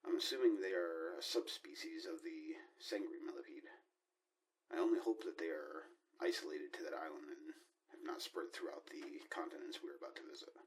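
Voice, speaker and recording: A man playing a grave, cautious starship leader in a sci-fi audio drama, measured, formal and soft-spoken. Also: rumbling low, gravelly, rough recording. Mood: somber, concerned. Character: grave, cautious male leader of a spaceship expedition.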